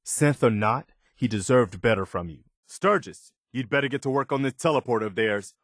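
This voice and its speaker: An actor playing an earnest, dutiful militia soldier in a post-apocalyptic video game, speaking in a plain, folksy way. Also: male voice, mid-range voice, lively intonation, normal speed, a bit bright, dry recording, clean studio sound. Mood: urgent, concerned. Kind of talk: video game companion voice lines.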